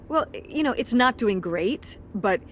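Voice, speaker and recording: A woman's voice: fairly high pitch, animated, unhurried. A woman playing a weary, exasperated middle-aged mother in a video game, speaking naturalistically and defensively, with some hesitation. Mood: evasive, reassuring. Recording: clear background ambience noise.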